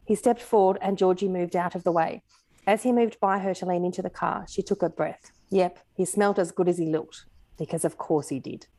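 Woman, Australian accent, lowish voice, guest author, conversational storytelling. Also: fairly quick, warm tone, no room sound. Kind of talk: casual conversation and reading own fiction aloud.

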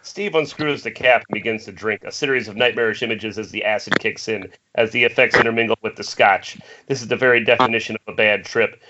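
A man's voice: lowish voice, quick pace, hardly any pauses, noisy background. A man reading screenplay stage directions as scripted narration over a dull internet call.

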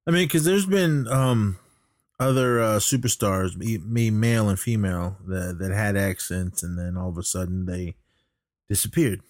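Low-voiced middle-aged man chatting, dry close sound. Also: moderate pace, no room sound. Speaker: podcast co-host. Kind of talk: casual chat reviewing wrestling.

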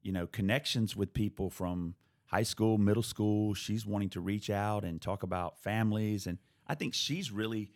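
A man's voice: lowish voice, normal speed, a little mellow. A man hosting a casual podcast conversation, thoughtful and steering the talk with questions. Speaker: podcast host.

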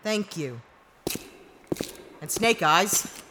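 An actress playing a brash, cunning, cool-headed disgraced ex-narc in an audio drama. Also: female voice, on the low side, expressive, drawn-out words, background noise. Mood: calm, scheming.

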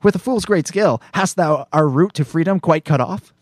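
A man reading the part of a haughty, sharp-tongued young princess in old-fashioned verse, in a raised voice. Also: on the high side, moderate pace, no echo, studio quality, pitched above the performer's own voice, close to an everyday voice. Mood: scolding.

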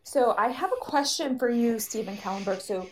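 A woman hosting a live panel discussion, giving measured introductions and questions with pauses as she goes. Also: on the high side, animated, a little mellow, poor audio. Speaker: panel moderator and writer.

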